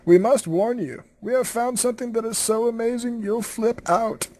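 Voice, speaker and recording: A man's voice: very high voice, fairly even intonation, moderate pace, darkish tone, voice pushed up. A man voicing young cartoon pony fillies with stilted, theatrical delivery. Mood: dramatic. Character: young cartoon pony fillies chatting about their discovery.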